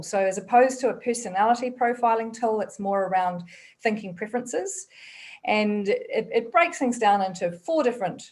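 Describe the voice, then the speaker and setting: New Zealand woman, guest presenter on a video call, quick and chatty, mid-range voice. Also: medium pitch, a little mellow. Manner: quick, chatty, with frequent fillers and restarts. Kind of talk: online workshop presentation.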